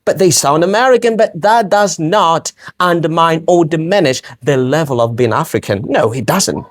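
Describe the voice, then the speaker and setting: A man's voice: fairly high pitch, expressive, moderate pace, no room sound, studio quality. Earnest man with British-sounding English. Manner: earnest, emphatic, repeats phrases while building a point. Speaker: English pronunciation teacher. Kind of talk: podcast interview answers.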